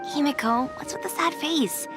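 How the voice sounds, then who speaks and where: Female actor, young classmate girl, high-pitched, cheery, breezy, video game acting, loud background music. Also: normal speed, natural voice. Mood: cheerful, consoling.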